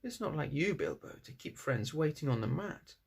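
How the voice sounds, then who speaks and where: A man performs an old storybook wizard with a gravelly edge to the voice. He is genial and good-humoured, and speaks with easy authority as he takes charge. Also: fairly high pitch, big pitch swings, moderate pace, heavily acted. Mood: genial chiding.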